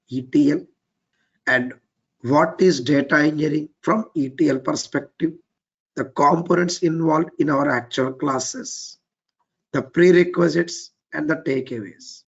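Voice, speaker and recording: A man with an Indian English accent teaching a live technical class. His voice is weak and low, and he keeps repeating his cues to move on step by step. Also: gravelly, slightly rough, dull audio. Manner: repetitive, keeps saying "let's get started, step by step". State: voice a bit weak and low from overuse. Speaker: trainer.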